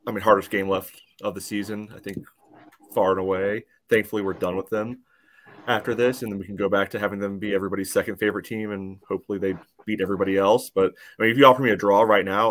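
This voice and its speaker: A man's voice: fairly low pitch, steady tone, fast talker, a bit bright. A man chatting casually about sport as a panelist, dry and matter-of-fact, ready to disagree. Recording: clearly noisy background.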